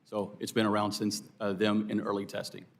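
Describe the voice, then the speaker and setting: A man's voice: fairly low pitch, completely flat delivery, fairly quick, vocal fry. A man who was an air traffic controller, giving polite, formal testimony at a hearing. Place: hearing room.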